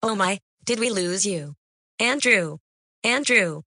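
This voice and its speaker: A woman's voice: medium pitch, expressive, slow pace, pushed and bright, no room sound, clean studio sound, full character voice. A woman playing a worried news anchor calling out to a lost reporter in an amateur broadcast drama.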